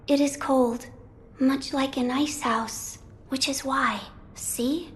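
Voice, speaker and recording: High-voiced, solemn holy maiden. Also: female voice, steady tone, slight background noise. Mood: serene, solemn. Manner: formal, measured, delicate. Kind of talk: video game cutscene acting.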